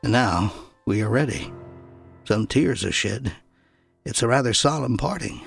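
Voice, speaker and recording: A man narrating an explorer's journal as an audiobook, telling it in a measured, dramatic way with deliberate pauses. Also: mid-range voice, animated, creaky, faint music behind.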